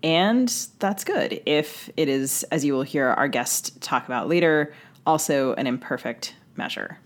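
Low-pitched woman hosting a podcast. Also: expressive, a little slow. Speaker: podcast co-host. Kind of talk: scripted podcast introduction and interviewing.

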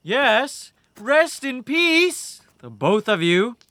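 A man's voice: very high voice, big pitch swings, drawn-out words, bright, forceful tone, no room sound, exaggerated voice. A man playing a captured enemy warlord in an audio drama, flippant and cocky, with a theatrical, taunting, wry delivery. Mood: sardonic.

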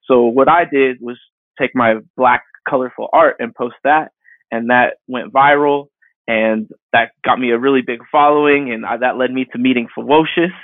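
A man in his thirties, a digital artist, answering interview questions in a rambling, halting way full of fillers and restarts. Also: medium pitch, fairly even intonation, dry recording. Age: 30s. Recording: dry tinny phone-like line.

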